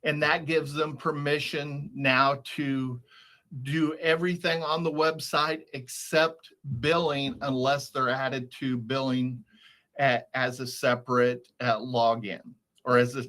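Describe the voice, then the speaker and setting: A man giving a step-by-step, matter-of-fact software walkthrough as a support trainer on a video call. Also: mid-range voice, level tone, measured pace. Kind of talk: screen-share software walkthrough, instructional.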